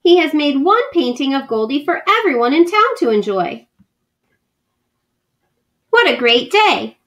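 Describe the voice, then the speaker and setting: Woman, school read-aloud host, high lively voice, warm teacherly asides. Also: measured pace. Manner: gentle, animated reading with teacherly asides to children. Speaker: school librarian reading aloud. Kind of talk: children's read-aloud storytelling. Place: home.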